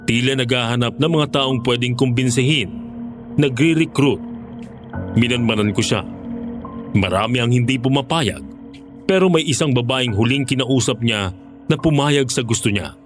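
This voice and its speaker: A man's voice: mid-range voice, moderate pace, long pauses, close to an everyday voice. A man playing a former monster hunter, narrating his mission in first person as a scripted horror story. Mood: recounting. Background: music.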